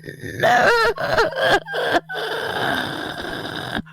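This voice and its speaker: A man as a creepy strangled neighbour lady, agonisingly slow. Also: wildly swooping pitch, vocal fry, pushed and bright, heavily acted. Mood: menacing. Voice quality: high-pitched, scratchy, strangled. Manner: slow, drawn-out, eerily sweet delivery. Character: creepy possessed elderly neighbour woman, sinister and coaxing. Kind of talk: horror radio drama acting.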